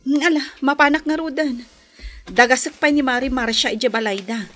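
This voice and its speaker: A woman's voice: sits high, normal speed, a bit bright. An actress playing a patient but firm, long-suffering wife and mother in an Ilocano radio drama, insistent and pleading. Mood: busy, firm.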